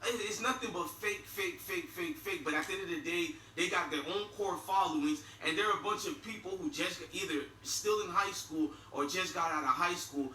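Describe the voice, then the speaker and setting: A middle-aged man with a Haitian accent rants bluntly and profanely, his voice piercingly bright and sharp. He sounds echoey and set back from the mic. A faint room hum sits underneath. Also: sits high, normal speed, hardly any pauses, far from the mic. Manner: blunt and profane, mocking laughs. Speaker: YouTube commentator. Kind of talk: ranting video commentary.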